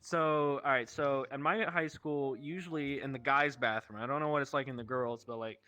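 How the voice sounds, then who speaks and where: A young man casually telling rambling stories to friends in a slangy, sweary, animated way. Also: medium pitch, normal speed. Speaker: show host telling stories.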